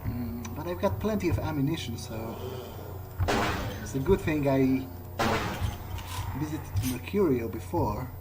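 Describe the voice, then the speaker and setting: A man giving in-character video game commentary, thinking aloud in a halting, musing way. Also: mid-range voice, expressive, poor audio. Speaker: gamer doing an in-character playthrough. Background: game music.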